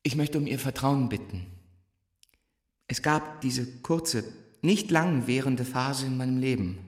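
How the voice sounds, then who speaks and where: An actor as slow-speaking, fervent, halting male teacher. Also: mid-range voice, roomy sound, close to an everyday voice. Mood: grave, confessing. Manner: declamatory, halting, searching for words. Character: gifted young male teacher, fervent, fragile, confessing. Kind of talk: stage drama acting, halting oratory.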